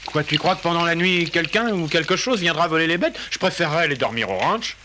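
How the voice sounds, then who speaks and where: A man's voice: a little high, expressive, fast talker, noisy background, lightly acted. A man playing a dim, lazy and naive sidekick herdsman in a cartoon, protesting in a whiny, childlike way. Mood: whiny reluctant. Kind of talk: exaggerated French cartoon dub acting.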